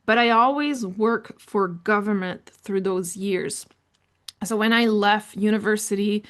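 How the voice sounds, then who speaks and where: A middle-aged woman with a French Canadian accent, a native French speaker from New Brunswick, hosting a podcast and telling her life story in a candid, reflective way with frequent ums and restarts. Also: mid-range voice. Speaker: podcast host. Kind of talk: personal storytelling monologue.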